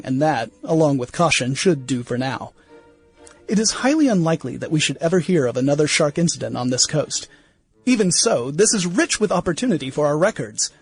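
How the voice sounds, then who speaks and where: An actor playing an irritable, self-important 1910s museum scientist, delivering a brisk, opinionated diary monologue in a radio play. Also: male voice, medium pitch, natural voice. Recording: music bed under the voice.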